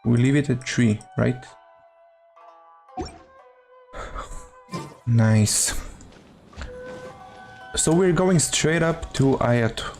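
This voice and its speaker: Man, game streamer, creaky voice, unhurried, hesitant casual chat, faint music behind. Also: mid-range voice, lively intonation, a little mellow.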